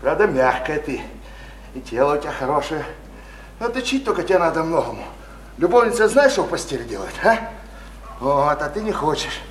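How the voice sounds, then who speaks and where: A man's voice: fairly high pitch, very animated, a little fast, long pauses, a little hiss or hum. An actor in a radio play playing a crude provincial man who taunts his mistress, mocking, boastful and given to repeating himself. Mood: smug, lecturing. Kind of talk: theatrical radio play acting. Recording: echoey stage-like radio play, music behind.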